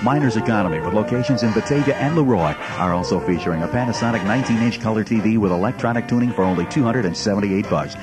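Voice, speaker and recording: Fast-talking man in a radio ad. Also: on the low side, over loud music. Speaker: commercial announcer. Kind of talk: scripted retail radio advertisement.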